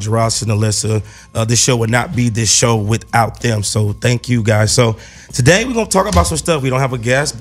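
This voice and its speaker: A man from the American Midwest hosting a radio talk show in a goofy, outgoing, joking way. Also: mid-range voice. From Gary, Indiana. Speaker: host. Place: radio studio.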